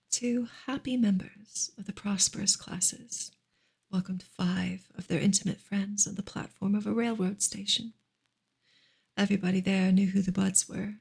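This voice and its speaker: Soft, husky woman reading in ASMR style. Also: medium pitch. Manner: soft, hushed, occasionally slipping into whisper. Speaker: reader on an ASMR channel.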